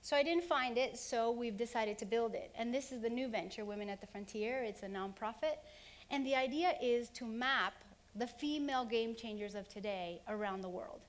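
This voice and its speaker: A middle-aged woman who grew up in Honduras, giving an earnest conference keynote and running through examples in quick lists. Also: on the high side. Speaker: keynote speaker. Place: conference hall.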